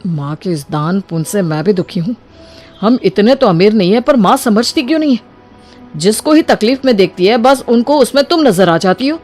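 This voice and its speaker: A woman's voice: mid-range voice, lively intonation, moderate pace, darkish tone, faint music behind, lightly acted. A woman voicing a worried, weary adult son and husband in a story, with a troubled, questioning manner.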